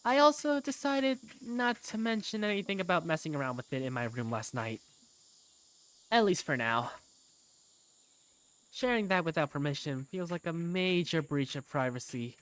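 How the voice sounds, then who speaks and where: A woman's voice: fairly low pitch, expressive, normal speed, no echo. A woman reading scripted first-person story narration for a fan-dubbed game.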